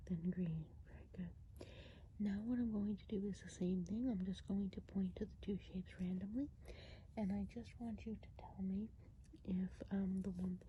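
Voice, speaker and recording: A woman whispering an ASMR roleplay as a kindly woodland witch, breathy and gentle, explaining things in an unhurried way. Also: medium pitch. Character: kindly woodland witch who sees herself as fairy godmother. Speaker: ASMR performer. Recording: dull, muffled audio with clear noise.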